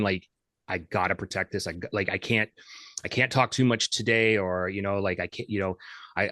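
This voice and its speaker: A man hosting a casual interview, asking long, halting questions with many restarts. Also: mid-range voice, a bit bright, no echo, studio quality. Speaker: podcast host.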